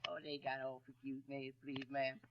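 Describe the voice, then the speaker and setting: An actor playing a polite, slow-witted country boy in a 1930s radio comedy, drawling his lines and saying please sir. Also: medium pitch, monotone, close to an everyday voice. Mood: bashful. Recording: dull 1930s radio broadcast with faint hiss.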